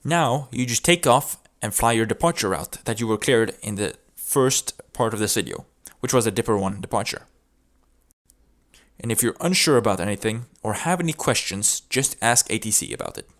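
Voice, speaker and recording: A man's voice: fairly low pitch, expressive, moderate pace, fairly bright tone. A man presenting a tutorial, explaining procedures step by step in an instructional narration. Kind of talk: instructional video narration with demonstrated radio calls.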